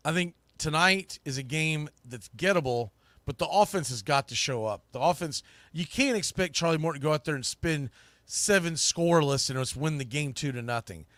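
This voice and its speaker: A man's voice: a little high, expressive, normal speed, sharp tone, no echo. A man hosting a sports podcast, giving emphatic, opinionated analysis and repeating phrases for stress. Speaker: sports podcast host, play-by-play broadcaster.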